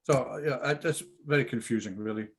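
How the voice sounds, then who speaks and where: A man's voice: mid-range voice, brisk. A man giving informal script feedback in a hesitant, rambling way, often repeating himself. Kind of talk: informal critique discussion.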